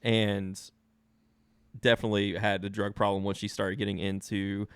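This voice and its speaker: A low-pitched man co-hosting a podcast, telling stories from his own life in an easygoing way and laughing often between lines. The sound is dry and close, with no room around it. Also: level tone, normal speed, fairly bright tone, smooth, no room sound. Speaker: podcast co-host. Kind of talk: casual chat, personal storytelling.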